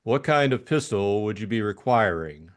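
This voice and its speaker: A man's voice: fairly low pitch, animated, normal speed, natural voice. A man voicing a deferential, unruffled manservant in an audiobook, speaking formally and with understatement.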